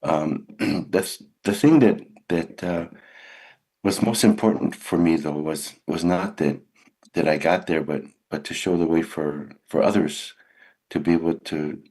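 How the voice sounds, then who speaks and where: An older man from California speaks as a radio guest, his voice sitting extremely low with a husky edge. He talks earnestly and without hurry, often circling back to restart a sentence. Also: a little mellow. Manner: earnest and unhurried, with many restarts. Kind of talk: radio interview recounting his career.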